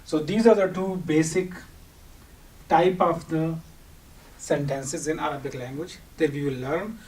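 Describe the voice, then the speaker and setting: A man's voice: on the high side, measured pace, a little mellow. A man with a Pakistani accent and Urdu as his first language, giving an instructional lecture in an explanatory way, posing questions and answering them. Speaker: teacher. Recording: distant microphone, audible background noise.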